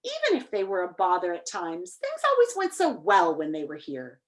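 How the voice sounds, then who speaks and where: A woman's voice: mid-range voice, wildly swooping pitch, moderate pace, roomy sound. A woman voicing a regal, declamatory storybook king who is flustered and melancholy. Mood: wistful.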